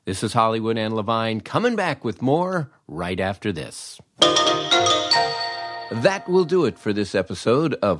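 Lowish-voiced male podcast host. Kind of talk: podcast narration and sign-off.

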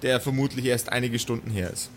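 A man narrating and bantering as the game master of an improvised tabletop podcast, halting and often repeating words. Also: medium pitch, fairly even intonation, a little hiss or hum.

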